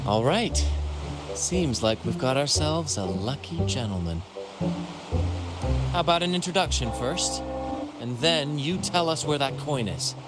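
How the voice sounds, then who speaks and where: An actor playing a flamboyant wandering bard in a video game, silver-tongued, teasing and theatrical. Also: male voice, medium pitch, expressive, measured pace, long pauses, over loud music, close to an everyday voice. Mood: teasing, smug. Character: flamboyant male wandering bard, playfully smug pirate-crew member.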